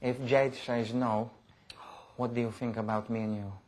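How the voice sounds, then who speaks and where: A British man in a comedy sketch, playing an obsessive superfan in an earnest, deadpan way. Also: medium pitch, normal speed.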